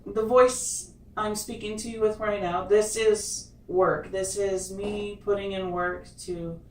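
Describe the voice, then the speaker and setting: A woman's voice: medium pitch, fairly even intonation. A woman hosting a casual chat who speaks hesitantly, restarting sentences and searching for words. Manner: halting, hesitant, restarts and fillers. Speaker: host. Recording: roomy, distant mic, faint noise.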